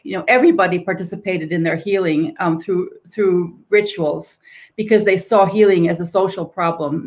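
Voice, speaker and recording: A woman's voice: medium pitch, darkish tone. Brief: woman in her sixties, academic guest on a video call, thoughtful and narrative. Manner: reflective, storytelling. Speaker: guest scholar. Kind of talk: interview answer.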